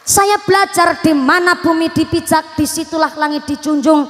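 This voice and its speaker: A woman preacher with a Banyumas Javanese accent, giving a joking, lively sermon and teasing the crowd. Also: very high voice, moderate pace, in a large hall. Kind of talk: lively comic Islamic sermon.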